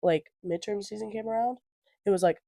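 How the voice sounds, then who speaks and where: A woman's voice: mid-range voice, brisk, creaky, dark tone, no room sound, clean studio sound. An eighteen-year-old woman with a stuffy nose from a cold, chatting casually as a podcast co-host and filling her talk with fillers. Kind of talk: casual chat.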